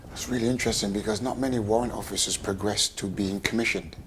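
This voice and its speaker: Guy interviewing for local tv, lowish voice, keeps saying yeah, faint background hum. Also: steady tone, fast, poor audio. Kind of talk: friendly interview questions.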